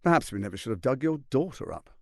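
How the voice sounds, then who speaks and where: A man playing a smooth-tongued, condescending tyrant lord in a video game, with a pompous, theatrical delivery and deliberate emphasis. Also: mid-range voice, big pitch swings, a little mellow, no room sound, studio quality. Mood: measured, menacing.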